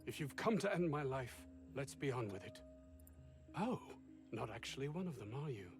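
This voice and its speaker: A man's voice: medium pitch, big pitch swings, moderate pace, music in the background. An actor playing a weary, defiant, cornered man in a sci-fi video game. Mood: resigned, wary.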